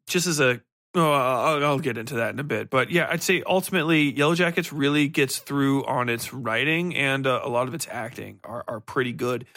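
A man's voice: mid-range voice, fairly bright tone, dry recording, clean studio sound. Rambling, blunt man reviewing casually. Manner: rambling, hesitant, self-correcting; blunt, sweary, opinionated. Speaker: podcast host reviewing books and TV.

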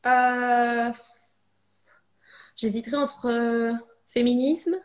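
A woman's voice: fairly high pitch, little pitch movement, very slow. A French woman in her thirties, a ceramic artist, talking casually in a podcast interview, hesitant with many fillers and restarts. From France.

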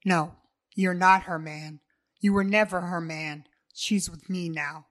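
Brief: woman giving voice to an intense lover, low, firm, a little lower-pitched. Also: steady tone, takes it slowly. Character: possessive intense young man, the lover.

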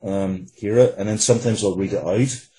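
A man with a markedly husky, breathy voice on the low side chats in an interview. He comes across as earnest and emphatic, circling back to repeat his phrases as he explains himself. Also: moderate pace, dark tone, low-quality recording. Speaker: writer and interview guest. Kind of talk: casual interview talk.

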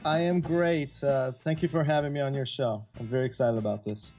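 A man's voice: mid-range voice, steady tone, a little fast, a little mellow, soft background music. A middle-aged man who grew up in Italy talks loosely as an interview guest. He rambles, full of fillers and restarts. The recording sounds a bit dull and lo-fi. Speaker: guest composer. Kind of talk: casual interview chat.

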